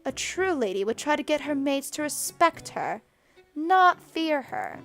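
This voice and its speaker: A woman voicing a calm, poised maid who speaks smoothly and gently scolds. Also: high voice, unhurried, soft background music, pitched above the performer's own voice, full character voice. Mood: soothing. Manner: smooth, soothing, genteel.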